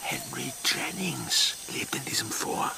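An actor playing a bold, wry male pirate with a gravelly voice in a German radio play. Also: mid-range voice, takes it slowly, whispered. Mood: hushed, conspiratorial. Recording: music behind the voice.

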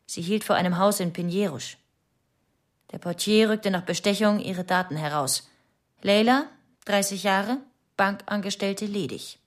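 An actress in a radio drama playing a suspicious, obsessive young wife giving testimony in terse, insistent fragments. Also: female voice, mid-range voice, close to an everyday voice. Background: faint music.

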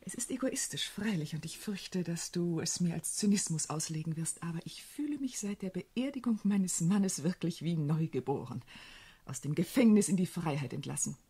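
A playful, frank young widow in a radio play. Her voice is husky and on the low side, and it swoops with teasing, chatty animation. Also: expressive, normal speed, dark tone, heavily acted. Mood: cheerful, frank. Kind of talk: German radio drama acting.

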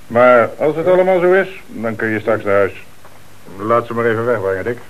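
A man's voice: mid-range voice, a little fast, highs cut off, lightly acted. A man acting in a radio play as a stern, dry, businesslike police commissioner, speaking with insistent authority. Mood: firm. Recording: hissy old radio play recording.

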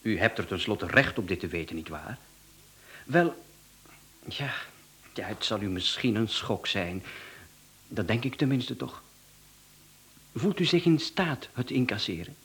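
A man's voice: on the low side, animated, measured pace, long pauses, fairly bright tone. An actor playing a polite, teasing, insinuating hired killer who talks like a businessman in a radio play. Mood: teasing, amused.

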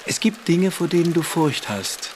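A man playing a precocious older schoolboy in a radio play, calm and mysterious, deliberate and quietly superior as he persuades. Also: fairly high pitch, lightly acted. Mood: calm, probing. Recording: echoey room, faint noise.

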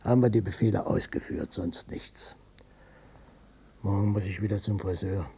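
Husky, blustering old father. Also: low-pitched, moderate pace, exaggerated voice. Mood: grudging, threatening. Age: older. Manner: blustering, repetitive, swinging from muttering to shouting. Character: stubborn, evasive old father, former wartime policeman, short-tempered. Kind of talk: German radio drama acting.

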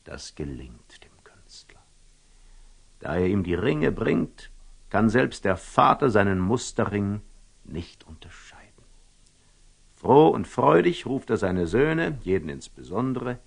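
An actor playing a wise, wary older merchant in a classical German radio drama, speaking verse deliberately and theatrically, often falling to a whisper. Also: male voice, on the low side, sing-song, exaggerated voice. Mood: solemn storytelling. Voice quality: husky, gravelly, often dropping to a whisper. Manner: deliberate, ruminative, theatrical storyteller with dramatic pauses.

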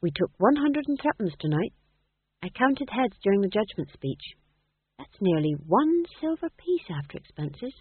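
A man's voice: high-pitched, sing-song, slightly rough, dry recording, narrow, tinny audio, voice pushed up, full character voice. Man playing a firm, thrifty theatre boss. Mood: satisfied. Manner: firm, brisk, shrewd. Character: firm, thrifty male boss of travelling players.

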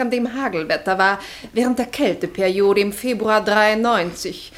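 A woman's voice: medium pitch, lightly acted. An actress playing a prim, indignant society lady with an affected, genteel manner in a 1960s German radio drama.

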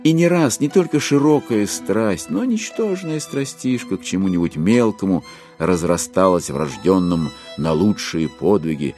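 An actor playing a solemn, philosophizing author who narrates a literary audio drama in a declamatory manner with weighty pauses. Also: male voice, on the low side, warm tone. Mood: impassioned. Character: solemn, philosophizing male author musing on human passions.

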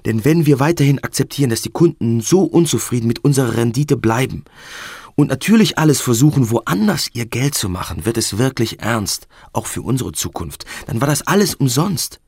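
An actor playing an anxious, driven banker speaking a private audio diary in a radio drama, his voice creaky and husky. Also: mid-range voice, expressive, normal speed, darkish tone, no room sound, clean studio sound. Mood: earnest, worried.

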